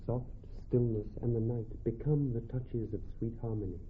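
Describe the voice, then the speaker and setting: An actor playing a romantic, alert young gentleman, with formal, poetic delivery in a classical radio drama. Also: medium pitch, fairly even intonation, normal speed, slight background noise, natural voice. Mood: tender, dreamy. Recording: tinny narrow-band recording, faint music.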